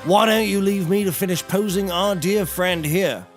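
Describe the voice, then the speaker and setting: A man's voice: high-pitched, normal speed, soft background music, lightly acted. A man playing a genial, grandiose eccentric amateur taxidermist in a scripted audio comedy, speaking in florid, theatrical phrases.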